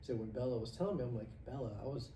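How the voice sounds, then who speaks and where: A mid-forties man hosting a podcast in a rambling, jokey way. His voice is mellow and rounded, sitting at an average pitch. The sound is distant and echoey with a light hiss behind it. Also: lively intonation, rapid-fire. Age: 40s. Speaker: podcast host. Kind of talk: casual podcast chat. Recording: echoey room, mic at a distance, faint noise.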